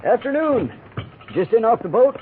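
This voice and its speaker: An actor playing a chatty, nosy frontier express clerk with an American accent and folksy, rambling talk in a 1950s radio western. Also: male voice, extremely high pitch, sing-song, normal speed, a little hiss or hum. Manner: folksy, rambling, drawn to gossip. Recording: tinny, narrow old radio recording.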